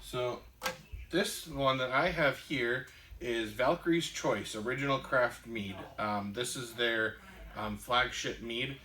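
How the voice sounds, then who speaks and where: Bright-voiced man telling a rambling story. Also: mid-range voice, slow, slight background noise. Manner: rambling storyteller with many fillers. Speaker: podcast co-host. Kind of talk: casual chat.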